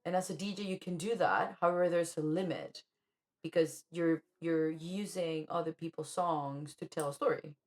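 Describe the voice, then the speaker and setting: A woman from Colombia, a DJ and music producer, co-hosting a casual podcast conversation and telling her story in a reflective, animated way. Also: deep voice, moderate pace.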